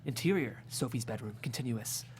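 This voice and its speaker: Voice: medium pitch, animated, a little fast, darkish tone, a little hiss or hum, poor audio. A narrator vividly reading scripted stage directions at a comedic table read.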